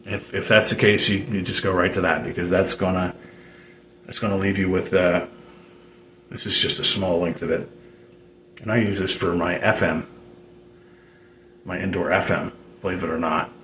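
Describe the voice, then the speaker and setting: A man's voice: low voice, flat delivery, moderate pace, long pauses, fairly bright tone. A man giving an unscripted, casual how-to explanation as a hobbyist, in a hesitant, rambling way with repeated phrases. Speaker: DIY radio hobbyist presenting a tutorial. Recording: roomy echo with background noise.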